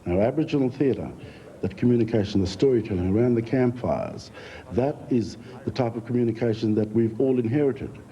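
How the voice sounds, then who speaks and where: A middle-aged Australian man talking reflectively in an interview, in a measured, halting way. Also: mid-range voice, lively intonation, warm tone. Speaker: theatre founder, former teacher. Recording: muffled, faintly noisy recording.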